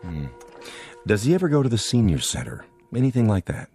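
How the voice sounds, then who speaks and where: An actor playing a reasonable, measured male doctor in a radio drama, polite, tactful and probing. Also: on the low side, wildly swooping pitch, dark tone, faint music behind. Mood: advising.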